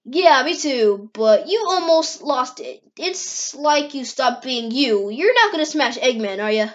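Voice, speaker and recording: Woman as a high-voiced, brotherly cartoon hedgehog hero. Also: animated, a little slow. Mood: relieved, teasing. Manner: reassuring, casual. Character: loyal young male hedgehog hero, brotherly and casual. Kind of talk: amateur comic dub.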